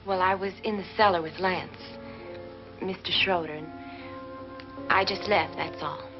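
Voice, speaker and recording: A woman's voice: lowish voice, fairly even intonation, a little slow, natural voice. An actress playing a timid, bewildered young woman, a guest in a 1950s horror film. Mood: upset, frightened. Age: young adult. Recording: dull vintage film soundtrack with music.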